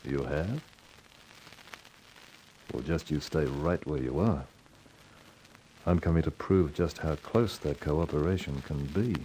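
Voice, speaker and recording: An actor playing a cool, wisecracking hardboiled man in 1950s radio drama style, with a wry, unhurried delivery. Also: very deep voice. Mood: flirtatious, teasing. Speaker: lead actor and narrator in a crime radio drama. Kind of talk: 1950s radio acting, hardboiled first-person narration. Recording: noisy vintage 1950s radio recording.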